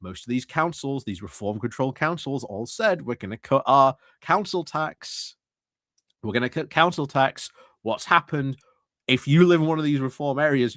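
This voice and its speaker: A man delivers off-the-cuff political commentary in a sardonic, scornful tone. His mid-pitched voice is recorded dry and close, and he leans on repeated phrases to drive points home. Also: fairly bright tone, no room sound. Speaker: streamer commentating on a council meeting. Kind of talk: casual live political commentary.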